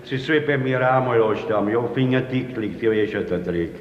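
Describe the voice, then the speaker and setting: An actor playing a grumbling, familiar building porter with an Austrian accent in a 1950s radio drama. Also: male voice, mid-range voice, moderate pace, close to an everyday voice. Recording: echoey, reverberant, muffled old radio recording.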